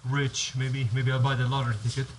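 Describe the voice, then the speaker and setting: A man's voice: medium pitch, completely flat delivery, brisk, very noisy. Rambling man with an action cam outdoors. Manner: rambling, restarts sentences, explains step by step. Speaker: vlogger. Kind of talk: casual how-to vlog. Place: outdoors on a home driveway by a garage. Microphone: head-mounted action camera.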